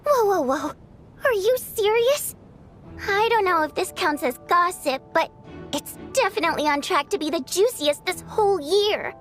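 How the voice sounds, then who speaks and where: An actress playing a lively, chatty young woman in an anime-style video game, speaking expressively. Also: very high-pitched, normal speed, faint music behind. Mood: astonished. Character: lively young woman, secret member of underground group.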